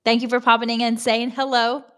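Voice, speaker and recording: A woman's voice: on the high side, flat delivery, fairly quick, clear, resonant tone. Chatty Southern American woman streamer. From Tennessee. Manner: chatty, laughs often, greets viewers by name. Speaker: livestream host. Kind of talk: casual chat reading comments.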